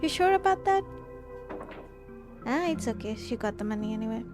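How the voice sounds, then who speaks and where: High-voiced Indian woman streaming a game. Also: expressive, moderate pace. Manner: switches between English and Hindi. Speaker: game livestreamer. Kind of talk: casual gameplay commentary, reading along. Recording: game music behind voice. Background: video game music.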